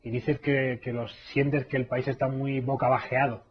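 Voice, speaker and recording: A man interviewing a guest, asking questions in a measured, formal way. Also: medium pitch, little pitch movement, slightly rough. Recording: dull, lo-fi sound.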